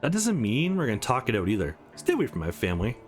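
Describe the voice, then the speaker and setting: A man's voice: mid-range voice, huge pitch swings, fast, faint music behind. A man voicing the guarded, wisecracking young hero of a visual novel in a dry, blunt way. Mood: hostile.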